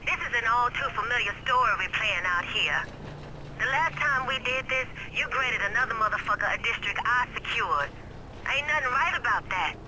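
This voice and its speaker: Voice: mid-range voice, animated, moderate pace, background noise, lightly acted. A performer playing a stern, indignant, accusing crime underboss in a video game. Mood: indignant, warning. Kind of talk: video game cutscene acting.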